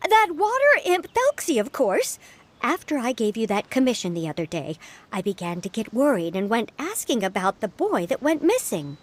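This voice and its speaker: Earnest, worried young game lady: high, lively pitch, emphatic and talkative. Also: normal speed, a little hiss or hum. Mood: worried, insistent. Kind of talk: video game voice acting.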